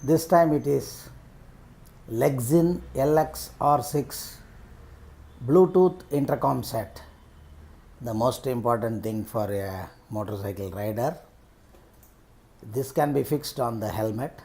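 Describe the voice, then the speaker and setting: A middle-aged man with an Indian accent giving unscripted unboxing commentary as a vlogger, in halting, piecemeal phrases. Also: mid-range voice, creaky, warm tone. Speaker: vlogger reviewing rider gear.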